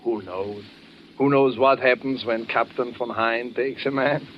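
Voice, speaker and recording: An actor playing a jovial, slyly menacing official in a 1940s radio drama, with a chuckling, unhurried manner. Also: male voice, medium pitch, fairly even intonation, lightly acted. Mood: slyly ominous. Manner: chuckling, unhurried, tags questions with eh and no. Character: jovial, food-loving, slyly menacing German official Herr Müller. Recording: muffled, noisy 1940s radio recording.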